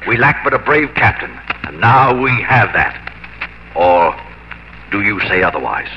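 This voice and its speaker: A man playing an eager, persuasive Elizabethan gentleman adventurer, hearty and theatrical in a 1950s radio drama. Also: fairly low pitch, big pitch swings, a little slow, noisy background. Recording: muffled 1950s radio broadcast with music.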